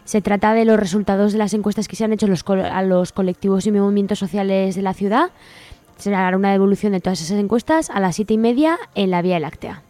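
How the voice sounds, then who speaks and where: A woman's voice: mid-range voice, fast talker, dark tone, no echo. A woman with an accent from Spain, co-hosting a community radio show and reading out event times and places. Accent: Spanish from Spain. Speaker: radio co-host.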